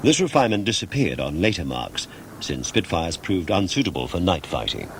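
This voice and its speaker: A man with a low-pitched voice delivers measured, scripted documentary narration. He explains things formally and precisely. The track is noisy, with a vehicle-like rumble underneath. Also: very animated.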